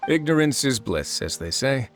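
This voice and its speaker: An actor playing an easygoing male prison warden who talks in a relaxed, dry, casual way. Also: medium pitch, moderate pace, lightly acted.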